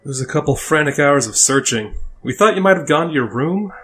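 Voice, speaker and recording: A man playing a caring older brother who reminisces in a halting, conversational way in an audio story. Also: fairly high pitch, expressive, fairly bright tone, slight background noise. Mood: wistful recollection. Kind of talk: dramatic audio story dialogue.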